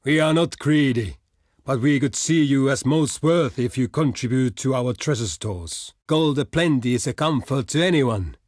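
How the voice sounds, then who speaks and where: A man's voice: mid-range voice, lively intonation, normal speed, darkish tone, dry recording, clean studio sound, close to an everyday voice. Man playing a proud, solemn barbarian envoy. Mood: courteous, persuasive. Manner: formal, solemn, declamatory. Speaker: diplomatic messenger. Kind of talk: theatrical video game voice lines.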